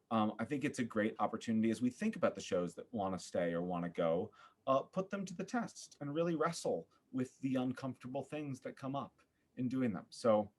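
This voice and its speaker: A man giving a thoughtful interview as a podcast guest, searching for his words with an earnest, halting manner. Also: fairly low pitch. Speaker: podcast guest, theater artist.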